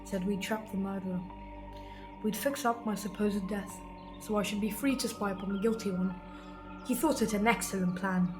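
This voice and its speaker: A woman's voice: medium pitch, fairly even intonation, moderate pace, music in the background, natural voice, low-quality recording. A woman playing a mad, commanding old judge, a gloating villain in an amateur school radio play. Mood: menacingly soothing.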